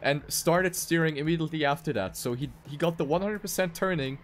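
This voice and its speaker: A man's voice: fairly high pitch, a little fast, slight background noise. A man doing live esports commentary on a racing game, with dramatic, emphatic phrasing.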